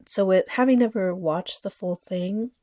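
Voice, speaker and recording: A woman's voice: medium pitch, a little slow, mellow, dry recording. Nasal, chatty woman with a cold. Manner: chatty, with fillers and small laughs. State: sick, a bit nasal. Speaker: anime collector vlogger. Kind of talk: casual haul chat.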